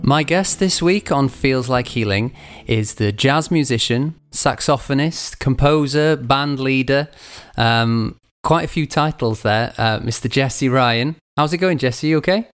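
Calm podcast host man over music. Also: medium pitch, measured pace, few pauses, clear, resonant tone, no echo. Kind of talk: scripted intro then casual interview.

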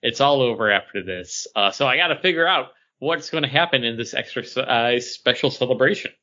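Male podcast co-host, sharp bright tone, conversational, dull lo-fi recording. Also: medium pitch, lively intonation, fairly quick. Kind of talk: casual comic book chat.

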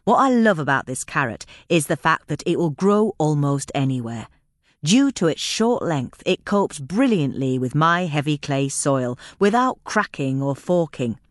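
A woman reading a scripted magazine-style article for a podcast in a measured, carefully enunciated way. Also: deep voice, lively intonation, no echo, studio quality. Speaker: podcast episode reader.

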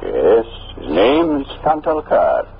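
An actor playing a gentle, fervent old hermit preacher, speaking in measured biblical oratory in a 1950s radio drama. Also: male voice, medium pitch, wildly swooping pitch, gravelly, slightly rough, heavily acted. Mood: gentle, reassuring. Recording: dull, noisy old radio recording.

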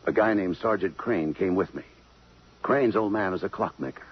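Husky low-voiced police detective. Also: male voice, normal speed. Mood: probing, matter-of-fact. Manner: plain-spoken, slangy, matter-of-fact. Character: hard-boiled, steady male police detective. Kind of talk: 1950s radio drama acting. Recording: muffled 1950s radio broadcast.

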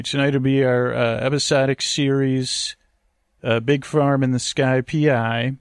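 Male sleep-podcast host, creaky mid-range voice, dry close sound, meandering and halting, full of self-interruptions and absurd tangents. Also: medium pitch, no echo.

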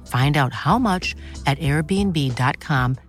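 A woman reads a casual testimonial advertisement. Her voice is very low and her delivery is chatty and conversational. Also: lively intonation, slow, soft background music. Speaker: ad reader.

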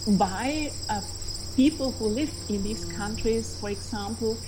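A woman's voice: on the high side, a little slow, background noise. A woman from Austria with an Austrian accent, a native German speaker, explaining her research as a historian in a reflective, searching way.

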